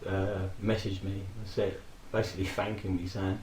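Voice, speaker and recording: A man in his forties, hoarse from a throat infection, casually rambling and reminiscing with frequent fillers and laughs. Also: sits low, little pitch movement, normal speed, a little mellow, roomy sound, slight background noise, rough recording. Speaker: vlogger. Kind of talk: casual personal tribute monologue.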